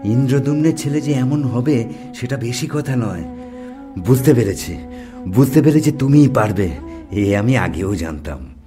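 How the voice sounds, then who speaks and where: A man playing an older, secretive, persuasive musician in a Bengali audio story, speaking deliberately with dramatic pauses. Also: medium pitch, lively intonation, music in the background, natural voice. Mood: enthusiastic.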